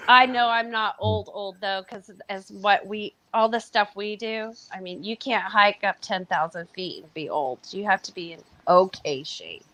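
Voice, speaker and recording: A woman's voice: medium pitch, moderate pace, few pauses, pushed and bright, dry recording, low-quality recording. A woman in her forties from California, chatting casually in a group and teasing playfully. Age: 40s. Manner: laughs often, playful teasing.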